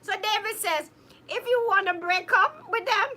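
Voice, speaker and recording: Very high, bright woman. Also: moderate pace. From Jamaica. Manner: laughs often, animated, addresses viewers by name. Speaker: co-host reading viewer comments. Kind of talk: casual live-stream chat.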